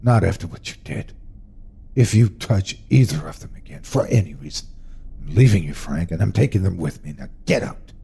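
A man's voice: lowish voice, sing-song, moderate pace, vocal fry, warm tone, slightly rough, full character voice. A man voicing a fierce, protective mother who curses at her husband and speaks tenderly to her sons. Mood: furious. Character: fierce protective mother, middle-aged woman.

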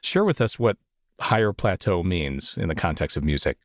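A man hosting a podcast, reading a measured, formal introduction and then asking his guest questions. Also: deep voice, animated, no echo, studio quality. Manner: measured, formal announcer delivery. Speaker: podcast host. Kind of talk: scripted show intro and interview questions.

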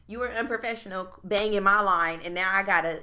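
A woman's voice: mid-range voice, brisk. A young woman co-hosting a podcast, telling a work story in casual chat with a strong voice, acting out both sides of a phone call. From United States. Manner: animated storyteller, acts out phone calls. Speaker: podcast co-host.

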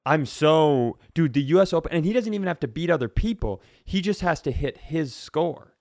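A man's voice: a little high, expressive, moderate pace, darkish tone, no echo, studio quality. A man co-hosting a podcast with casual comedic banter, rambling and repeating himself for emphasis. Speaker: podcast co-host.

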